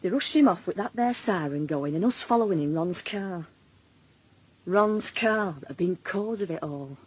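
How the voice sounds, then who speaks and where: A woman's voice: lowish voice, expressive, normal speed, slightly rough, poor audio. A woman playing a defensive, blunt working-class Northern English wife and mother, middle-aged, in a naturalistic radio drama. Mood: weary, wry. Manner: colloquial, blunt, wry. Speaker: lead actress and narrator in a radio drama.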